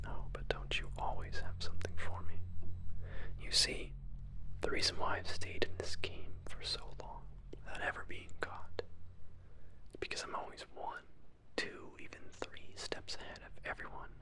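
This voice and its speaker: A man playing a shrewd, secretive relic collector in a whispered sci-fi ASMR roleplay, with a breathy, conspiratorial delivery. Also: deep voice. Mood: probing, suspicious. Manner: hushed, conspiratorial.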